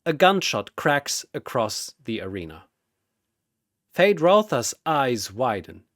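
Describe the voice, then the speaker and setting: A man's voice: medium pitch, big pitch swings, slow pace, a bit bright, no room sound, studio quality. A man delivering dramatic scripted battle narration in a solemn, theatrical manner.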